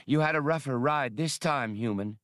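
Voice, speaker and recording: A man's voice: medium pitch, moderate pace, fairly bright tone, dry recording, studio quality, pitched above the performer's own voice, lightly acted. A man voicing a concerned female aquatic creature, puzzled by humans, with a hesitant, questioning manner, in an audiobook story. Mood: curious.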